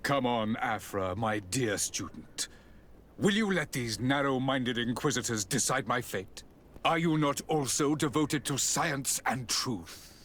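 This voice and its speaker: A man's voice: medium pitch, lively intonation, a little slow, fairly bright tone, slight background noise. An actor playing a cold, arrogant male scientist in a game, condescending and theatrical. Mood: persuasive. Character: cold, arrogant male doctor defending his cruel experiments.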